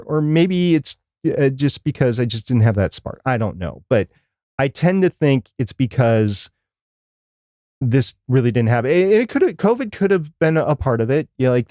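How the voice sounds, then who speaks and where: A man's voice: medium pitch, warm tone, no echo, clean studio sound. A middle-aged man, casually recapping as a podcast co-host in a rambling, plain-spoken way. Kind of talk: casual conference recap on a live chat show.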